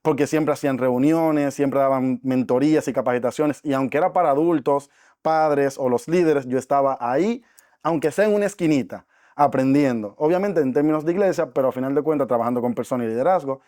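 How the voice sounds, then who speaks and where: A man's voice: medium pitch, brisk, warm tone, no room sound, clean studio sound. A man, a podcast guest talking earnestly and reflectively about his life, with frequent fillers. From Dominican Republic. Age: 20s. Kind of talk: casual interview talk.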